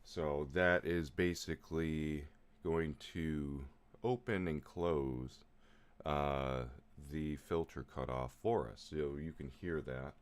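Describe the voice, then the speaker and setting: A man with a low voice, casually walking through synth settings on a live stream, rambling with lots of fillers. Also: slow pace, clear, resonant tone. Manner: relaxed, rambling explanations with many fillers. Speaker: music producer streaming a live session. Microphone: studio mic through a vocal chain. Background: synth music playing.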